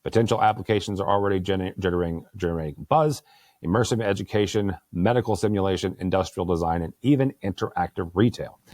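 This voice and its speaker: A man with a deep voice hosting a news roundtable, speaking into a good studio microphone and dropping easygoing, joking asides. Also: quick pace, darkish tone, no room sound. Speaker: host.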